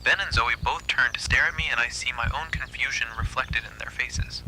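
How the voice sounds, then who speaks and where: A man narrating a scripted first-person horror story in a hushed, breathy, suspenseful way. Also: medium pitch, a little fast, slight background noise, low-quality recording.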